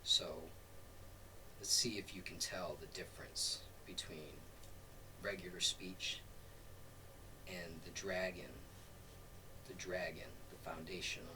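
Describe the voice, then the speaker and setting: A man's voice: sits low, level tone, takes it slowly, pauses often. A middle-aged man giving an informal, rambling off-the-cuff lesson as a spiritual teacher, often checking in with "right?". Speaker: spiritual teacher demonstrating a voice practice. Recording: distant mic, clearly noisy background.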